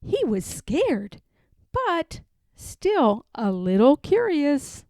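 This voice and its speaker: A woman reading a children's picture book aloud in an expressive, sing-song way. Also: high voice, drawn-out words, dry recording, studio quality. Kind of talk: storybook read-aloud.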